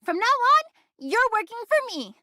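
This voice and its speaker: Very high, feisty anime girl smuggler. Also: very animated, unhurried, sharp tone, no echo, studio quality, full character voice. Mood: frank, bossy. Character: feisty, girlish young female treasure-keeping smuggler, proud but caring. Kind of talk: anime video game voice acting.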